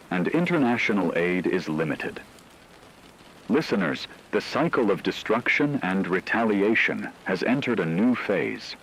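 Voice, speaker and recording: A man playing a solemn radio news anchor, reading scripted dramatic war reports in a measured, portentous way. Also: fairly low pitch, poor audio. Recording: faint rain and radio noise.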